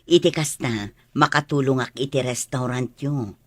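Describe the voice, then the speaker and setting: An actress with an Ilocano accent playing a devoted, proud middle-aged mother in a radio drama, with a husky voice. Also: a bass voice, moderate pace, no room sound, clean studio sound. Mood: caring, resolute.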